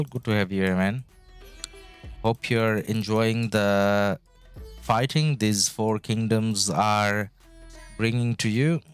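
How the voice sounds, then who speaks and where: Bangladeshi-born man streaming games, low voice. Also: smooth. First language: Bengali. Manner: chatty, rambling, reads out chat messages. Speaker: game streamer. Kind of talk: casual live-stream commentary. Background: background music.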